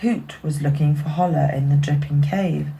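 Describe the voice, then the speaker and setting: A woman reading a children's picture book aloud expressively, dropping into hushed asides. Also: deep voice, fairly even intonation, normal speed, vocal fry, poor audio. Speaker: bedtime story reader.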